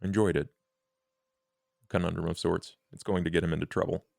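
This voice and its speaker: A man playing a courtly, world-weary vampire in a tabletop roleplay, speaking gravely in formal, deliberate, ornate phrases. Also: low voice, little pitch movement, a little mellow, dry recording, clean studio sound, heavily acted. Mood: grave, musing. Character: measured, scheming male vampire named Duke, courtly and world-weary.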